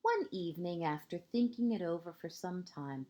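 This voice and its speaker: A woman reading a children's picture book aloud like a kindergarten teacher, expressive and deliberate, with dramatic pauses and emphasis for young listeners. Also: on the low side. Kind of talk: children's storybook read-aloud.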